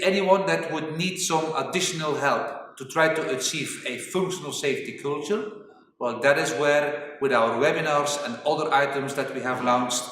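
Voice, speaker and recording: A man in his fifties from Belgium, a native Flemish speaker with a Flemish accent, presenting a corporate webinar in a deliberate, explanatory way. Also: on the high side, fairly bright tone, slight background noise. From Belgium (Flanders). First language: Flemish Dutch. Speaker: webinar presenter, safety engineering trainer. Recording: very echoey reverberant room, distant mic.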